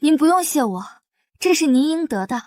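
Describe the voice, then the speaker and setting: An actress playing a young wife of a noble house who speaks calmly and cuttingly. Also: female voice, high voice, background noise. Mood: kind. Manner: calm, measured, cutting.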